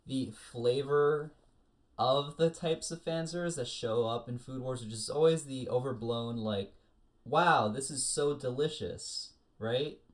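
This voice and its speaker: A man with a mid-pitched voice talks casually as a podcast co-host. He explains his opinions in a loose, rambling flow, as in a chat among friends. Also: measured pace. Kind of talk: casual discussion of food anime.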